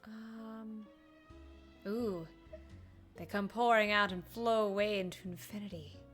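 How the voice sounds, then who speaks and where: A woman's voice: medium pitch, fairly even intonation, slow, faint music behind. A woman game streamer giving casual, playful live reactions as she plays.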